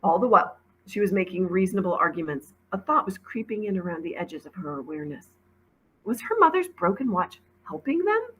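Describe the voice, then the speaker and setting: A woman's voice: medium pitch, sing-song, fairly quick, a bit bright, breathy edge, low-quality recording. A woman, a teacher, reading a children's novel aloud as narrator. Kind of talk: storybook read-aloud narration.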